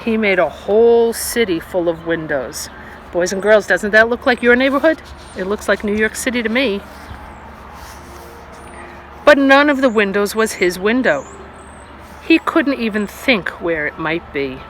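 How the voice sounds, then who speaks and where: A storyteller reading a children's picture book aloud outdoors, with expressive delivery and asides to young listeners. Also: medium pitch, measured pace, pauses often, rough recording. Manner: addresses young listeners directly with questions and asides. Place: outdoors on a stone wall by grass. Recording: outdoor recording with faint ambient noise.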